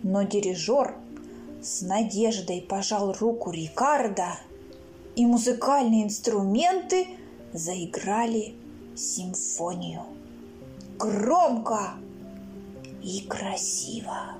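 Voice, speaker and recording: A woman reading her own children's stories aloud in an expressive, theatrical way, acting out sounds and characters. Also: mid-range voice, slow, long pauses. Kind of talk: expressive children's storybook narration. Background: music.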